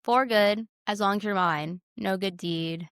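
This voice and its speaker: Smooth-voiced woman in casual chat. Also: mid-range voice, unhurried, fairly bright tone, no room sound, clean studio sound. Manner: informal, blunt, slangy. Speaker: podcast co-host. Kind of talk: casual podcast chat about a film.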